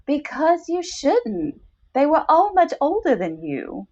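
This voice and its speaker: A woman plays a mysterious, worldly older lover who teases her young man without a care. Her pitch is animated and swings freely, in a story reading with slightly dull, lo-fi sound. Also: takes it slowly, full character voice. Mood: coolly amused. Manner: teasing, unbothered. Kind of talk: dramatic short story reading.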